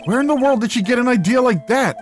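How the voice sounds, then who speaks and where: A man's voice: very high-pitched, expressive, quick pace, soft background music, pitched below the performer's own voice. A man voicing a wry, easily exasperated adult male lawyer in video game dialogue. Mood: wry musing. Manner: wry, incredulous.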